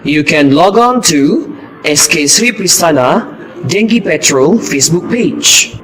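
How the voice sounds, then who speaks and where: A man playing an earnest, heroic mosquito destroyer in an amateur puppet show, with a deliberate, recited delivery. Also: on the high side, expressive, fairly bright tone, rough recording.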